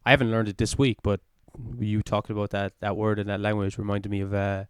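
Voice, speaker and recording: Earnest rambling man, smooth low voice. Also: level tone, fast talker, dry recording, studio quality. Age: 20s. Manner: rambling, earnest, many fillers such as "like". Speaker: podcast co-host. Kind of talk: casual chat.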